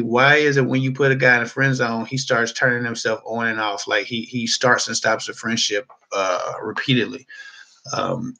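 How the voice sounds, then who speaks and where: A man's voice: medium pitch, gravelly, sharp tone. A man hosting a dating advice talk, speaking frankly in a rambling, casual way. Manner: frank, rambling, with many restarts and fillers. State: losing his voice.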